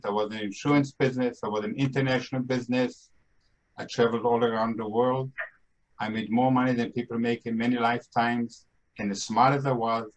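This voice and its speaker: A man's voice: mid-range voice, rough recording. Upbeat older man with a Brooklyn accent. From Austria and Brooklyn, New York. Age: 70s. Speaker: podcast host. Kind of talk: casual podcast monologue.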